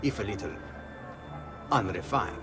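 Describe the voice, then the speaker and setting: A wry, shrewd guild leader. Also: male voice, lowish voice, measured pace. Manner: calm, wry, takes charge. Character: shrewd middle-aged male thieves' guild leader. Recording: game cutscene with music underneath.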